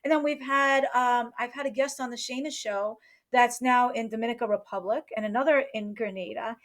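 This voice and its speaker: A woman's voice: on the high side, a little fast. A woman hosting a chatty live interview show, with an exuberant, cheering manner. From New York, United States. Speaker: show host.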